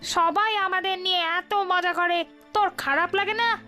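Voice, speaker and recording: A very shrill-pitched, selfish cartoon bird. It talks in a bossy, scolding way, with music clearly playing behind it. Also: female voice, steady tone, unhurried. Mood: upset. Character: selfish, bossy first head of a two-headed bird. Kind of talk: children's cartoon fable voice acting.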